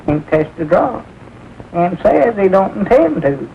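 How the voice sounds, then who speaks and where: An actor playing a simple, agreeable country fellow with a rural Southern American accent and a folksy, hesitant manner, in 1940s film comedy style. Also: male voice, sits high, sing-song, unhurried, gravelly, heavily acted. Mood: mild, agreeable. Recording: dull, noisy old film soundtrack.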